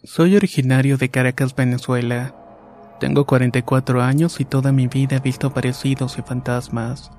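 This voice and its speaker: Male horror narrator, dark and mellow timbre, monotone and steady, faint music bed. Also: mid-range voice, a little fast. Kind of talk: scripted horror story narration.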